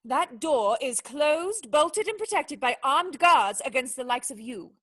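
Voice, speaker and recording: A woman plays a young noblewoman in a radio drama, high-pitched and proud. She scolds in articulate, cutting phrases, indignant and wounded underneath. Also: lively intonation, a little slow, bright, forceful tone, full character voice. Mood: hurt. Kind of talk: radio play acting.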